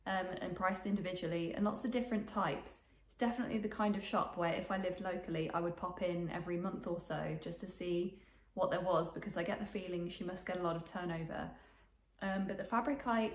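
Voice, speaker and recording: A woman's voice: fairly low pitch, steady tone, fairly quick, echoey room. A woman in her twenties with a bit of a cold, chatting casually about sewing to camera from her sewing room, rambling with lots of ums. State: snuffly from a cold. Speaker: sewing vlogger. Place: home sewing room, indoors.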